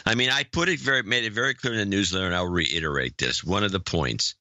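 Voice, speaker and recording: A man's voice: deep voice, fast, sharp tone, no echo, dull audio. A man co-hosting a podcast in casual chat, blunt and opinionated. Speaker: podcast co-host.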